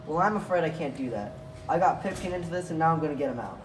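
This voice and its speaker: A man's voice: on the high side, lively intonation, quick pace, natural voice. A man playing a caring, cautious rabbit leader in an amateur puppet show, earnest and concerned. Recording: echoey, noisy room, far from mic.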